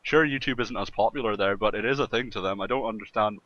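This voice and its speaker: A man's voice: fairly low pitch, fast talker. A man joking crudely and swearing as he chats casually as a co-host on a group podcast. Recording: dull, muffled voice-call microphone.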